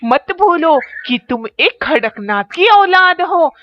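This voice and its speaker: A woman voicing a female cartoon crow who goads and admires her husband in a slow, emphatic, dramatic way. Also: very high-pitched, big pitch swings, heavily acted. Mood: urging, stirring. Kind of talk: children's cartoon story acting. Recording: dull lo-fi sound, clear noise.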